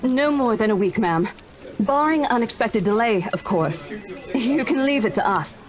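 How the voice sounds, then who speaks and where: A woman's voice: on the high side, expressive, moderate pace, faint music behind, close to an everyday voice. A woman playing a confident, teasing female estate agent and mentor in a visual novel, breezy and playful. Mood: businesslike.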